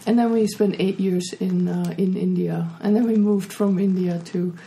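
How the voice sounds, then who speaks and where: A woman with a German accent speaks in a low, mellow voice. She tells stories casually as an author being interviewed, with some background noise around her. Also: steady tone, normal speed, rough recording. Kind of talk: casual personal storytelling.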